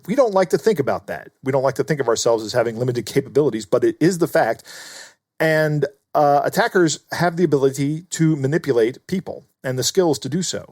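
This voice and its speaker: Male podcast co-host, conversational and explanatory, average pitch, dry studio sound. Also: darkish tone, dry recording. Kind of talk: conversational commentary.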